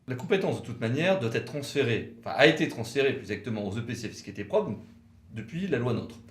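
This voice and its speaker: A man's voice: medium pitch, lively intonation. Didactic man explaining law, roomy echo. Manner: didactic, precise, speaks in short measured phrases. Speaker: legal commentator for a law firm, co-presenter. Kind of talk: explanatory legal lecture, semi-scripted. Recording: roomy, echoing sound.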